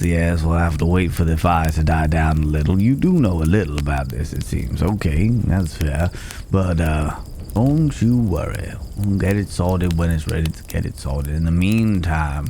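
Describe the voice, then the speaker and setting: A man playing a calm, protective cowboy stranger in an ASMR roleplay, talking in an unhurried, folksy way with hesitant pauses and gentle teasing. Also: deep voice, creaky, a little hiss or hum. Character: gruff but caring male cowboy stranger, calm and protective. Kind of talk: immersive ASMR roleplay acting. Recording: outdoor night ambience with chirping crickets.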